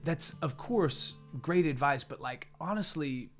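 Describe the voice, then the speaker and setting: A man's voice: on the high side, animated, a little slow, soft background music. Enthusiastic man hosting a casual interview. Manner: enthusiastic, slangy. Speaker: interview show host.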